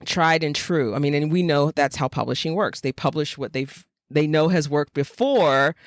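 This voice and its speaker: A woman with an American accent talking casually and emphatically as a podcast co-host, with animated delivery. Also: low voice, moderate pace, no room sound, studio quality. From United States, Jacksonville, Florida.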